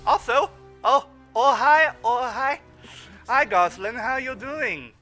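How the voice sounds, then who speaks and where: A man giving casual, excitable commentary on a cartoon, halting and repeating his words. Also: very high voice, faint music behind. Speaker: co-host of a cartoon riffing show.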